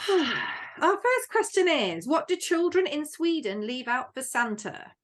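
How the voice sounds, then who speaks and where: A woman's voice: high voice, very animated, slow pace. A woman hosting a podcast quiz, casual and playful, poking fun at herself. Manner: playful, self-deprecating. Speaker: podcast host. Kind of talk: casual chat, running a quiz.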